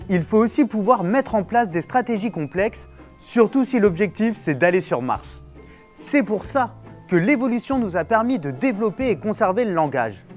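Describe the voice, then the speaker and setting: A man presenting a popular neuroscience segment, narrating playfully and asking passers-by questions. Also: high-pitched. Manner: lively, explanatory, playful. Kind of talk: narration and street interviews.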